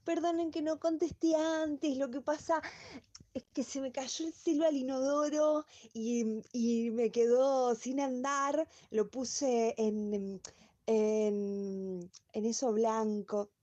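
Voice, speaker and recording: A woman's voice: high-pitched, steady tone, slow pace, warm tone, dull audio. A woman playing a forgetful, chatty middle-aged Argentine woman who rambles through a voice message in a comic radio play. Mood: scatterbrained, chirpy. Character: scatterbrained, chatty middle-aged woman greeting old classmates.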